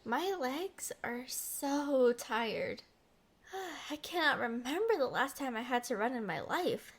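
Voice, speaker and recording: A young woman acting a playful, headstrong, lovestruck princess in an expressive roleplay audio. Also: sits high, takes it slowly, full character voice. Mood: relieved, fond. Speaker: voice actor.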